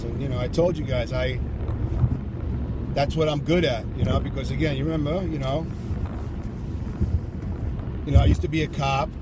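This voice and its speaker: Combative man rambling over traffic noise. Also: mid-range voice. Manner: combative, repeats himself, heavy with "you know". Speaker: vlogger giving child support advice. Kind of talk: casual rambling monologue.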